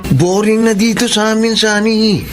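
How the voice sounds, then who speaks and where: An actor playing a mischievous young sidekick in a Filipino radio drama, slangy, eager and sly. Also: male voice, sits high, steady tone, normal speed, music in the background, lightly acted.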